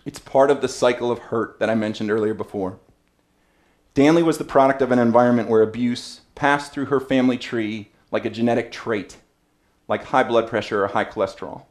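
A man in his thirties delivers a prepared keynote from a podium, reading from his notes in an earnest, measured voice of middling pitch. He addresses a seated audience in a banquet room. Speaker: keynote speaker. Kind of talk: prepared speech read from notes.

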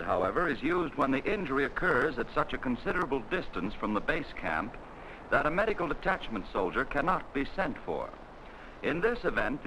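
A man narrating a 1940s military training film in a measured, instructional way, on an old film soundtrack. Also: medium pitch, bright, forceful tone, slight background noise, low-quality recording.

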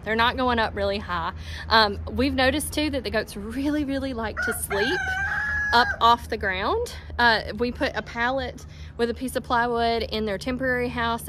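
A woman in her thirties with a drawl talks chattily to a phone held at arm's length, her voice pitched a little high. She is outdoors on a farm, and steady background noise runs under her words. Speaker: homestead vlogger. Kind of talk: casual vlog talking to camera. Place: outdoors on a farm and inside a barn. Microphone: handheld phone at arm's length.

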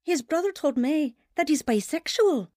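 Earnest young wife, high-voiced. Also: female voice, animated, normal speed, darkish tone, no echo, clean studio sound. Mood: troubled, earnest. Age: young adult. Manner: vulnerable, earnest. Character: battered, earnest young British wife and mother. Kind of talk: radio drama acting and first-person narration.

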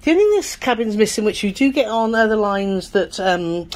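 A woman's voice: medium pitch, lively intonation, fairly quick, a little mellow. A middle-aged woman chatting casually as she tours a cruise cabin, often checking for agreement. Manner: chatty, seeks agreement with tag questions. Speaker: travel vlogger co-host. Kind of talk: casual tour chat. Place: cruise ship cabin, indoors.

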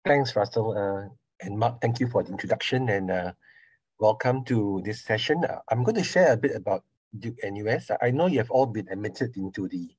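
A middle-aged man with a Singaporean accent, a surgery professor giving an online talk in a measured, explanatory way with frequent pauses. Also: on the low side, expressive, darkish tone, breathy edge, no room sound, rough recording. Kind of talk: online information session talk. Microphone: video call.

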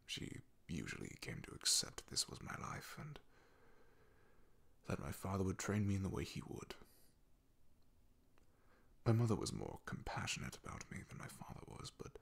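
A man acting a devoted fantasy knight, ASMR roleplay, very breathy and husky, heavy vocal fry, halting, awkward and soft-spoken. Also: very animated, heavily acted. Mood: wistful, reflective. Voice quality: hushed, breathy, gravelly low voice.

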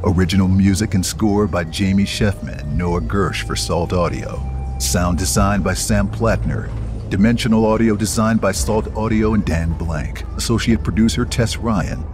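A man's voice: sits low, moderate pace, over music. A man reading scripted production credits as an announcer.

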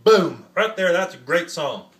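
A man's voice: sits high, lively intonation, a little slow, fairly bright tone. A man impersonating an earnest, goofy American actor gushing dreamily about music. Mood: awed, dreamy.

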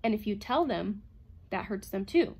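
Woman playing a relentless woman psychologist, husky. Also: mid-range voice, lively intonation, moderate pace, dark tone. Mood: pressing. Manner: insistent, droning, methodical. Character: relentless, commanding female robot psychologist. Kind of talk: dramatized audiobook reading.

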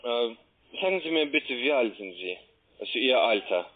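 A man gives a phone sales pitch in non-native German with grammatical slips. He is halting and often repeats himself. His medium-pitched voice comes through a muffled, narrowband telephone line. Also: lively intonation. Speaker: call centre agent pitching online trading.

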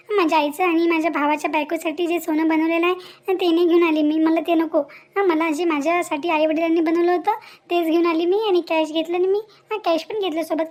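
A woman's voice: very high voice, darkish tone. A woman voicing a dreamy, plaintive young village woman in a cartoon, with a Vidarbha Marathi accent. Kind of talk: amateur cartoon dialogue.

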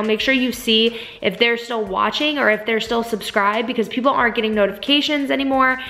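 Hoarse chatty young woman vlogging at home. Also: a little high, fairly bright tone. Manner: chatty, lots of "like" and "you guys". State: hoarse from a cold. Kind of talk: casual vlog chat to camera. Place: living room at home, also in a car. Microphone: camera at arm's length.

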